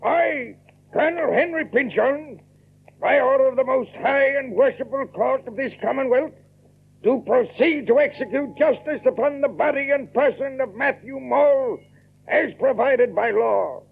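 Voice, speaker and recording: An actor playing a stern, self-righteous Puritan colonel in a radio drama, speaking in pompous, formal commands and proclamations. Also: male voice, very high-pitched, a little slow, creaky, breathy edge, heavily acted. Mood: solemn, proclaiming. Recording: thin, phone-like old radio recording.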